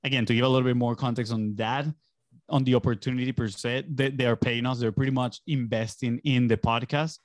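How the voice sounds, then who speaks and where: A young man from Venezuela whose first language is Spanish chats casually on a podcast. His pitch sits in the middle for a man. He is close on the microphone, and the sound is dry, with hardly any room on it. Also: fairly even intonation, fairly quick, no echo. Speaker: podcast guest, agency entrepreneur. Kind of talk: casual conversational storytelling. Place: small home studio indoors. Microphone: close boom-arm podcast microphone with headphones.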